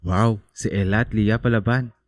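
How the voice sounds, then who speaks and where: An actor playing a playful, laid-back teenage schoolboy in a radio drama, joking casually. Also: male voice, fairly low pitch, fairly even intonation, moderate pace, no echo, close to an everyday voice. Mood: teasing, playful.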